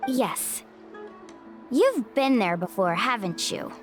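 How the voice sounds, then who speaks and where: A woman's voice: fairly high pitch, sing-song, takes it slowly. A woman voicing an earnest, upbeat young female guide in game dialogue. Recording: game audio with music behind.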